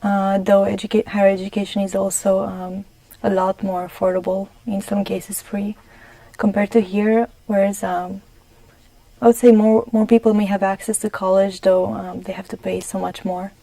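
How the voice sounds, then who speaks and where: A young woman from Romania with a Romanian accent, a studio guest answering interview questions carefully, with many pauses and fillers. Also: mid-range voice, flat delivery, dark tone, dry recording, rough recording. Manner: careful, many ums and restarts.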